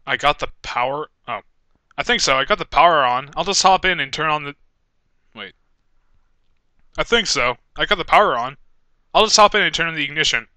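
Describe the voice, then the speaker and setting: A man's voice: on the high side, expressive, fairly quick, long pauses, sharp tone, dry recording. A young man recording amateur voice acting takes, stumbling over his script and swearing in exasperation. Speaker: amateur voice actor. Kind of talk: reading script takes for a fan animation, breaking off to swear.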